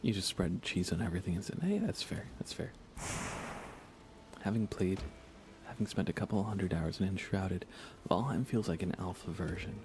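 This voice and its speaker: A soft-spoken man chatting casually on an ASMR gaming livestream, rambling unhurriedly and often slipping toward a whisper. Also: sits low, expressive, moderate pace, noisy background. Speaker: livestreamer playing a video game.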